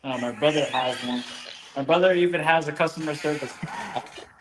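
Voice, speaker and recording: A man who is a fighter, joking and rambling in a casual interview, often trailing off quietly. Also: fairly high pitch, normal speed. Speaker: fighter, guest. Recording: echoey room, faint background noise.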